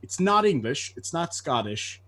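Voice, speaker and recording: A man with a soft southern Irish accent, chatting casually as a guest and tripping over restarts. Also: on the high side, sing-song, measured pace. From Ireland. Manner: chatty, self-deprecating, stammers on restarts. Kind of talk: casual chat. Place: lives in Australia.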